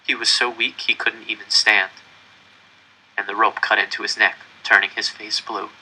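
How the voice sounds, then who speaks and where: A man's voice: fairly low pitch, flat delivery, moderate pace, sharp tone, husky, rough recording. A man reading a novel aloud, telling it in first person with earnest, dramatic storytelling. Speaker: audiobook reader.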